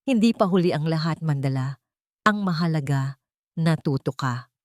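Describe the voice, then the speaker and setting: A woman voicing a gentle, loving elderly mother in an audio story, with a tender, calm, reflective way of speaking. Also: sits low, normal speed, no echo, clean studio sound, lightly acted. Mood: reassuring.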